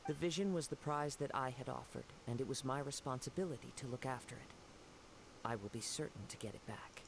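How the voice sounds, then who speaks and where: A man voicing a serene, poetic young wandering swordsman in a video game, speaking in a measured, formal, gentle manner. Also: medium pitch, vocal fry, noisy background, lightly acted. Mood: composed, resolute.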